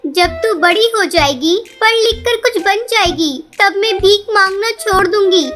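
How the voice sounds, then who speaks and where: Poor, devoted cartoon mother bird, played by a woman: extremely high, earnest and pleading, over clear background music. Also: moderate pace. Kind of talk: Hindi children's cartoon voice acting.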